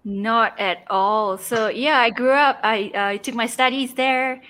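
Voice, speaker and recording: A young woman from Singapore, a travel blogger guest, talking in a relaxed way with many "um"s and "like"s. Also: on the high side, unhurried. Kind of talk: casual interview chat.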